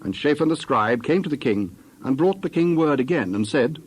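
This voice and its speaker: A man's voice: a little high, lively intonation, moderate pace, breathy edge. A man giving a scripted narration of scripture as the narrator of a dramatized reading.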